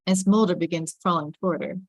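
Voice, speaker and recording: A woman's voice: fairly low pitch, steady tone, fast talker, dry recording. A woman reading screenplay stage directions as scripted narration.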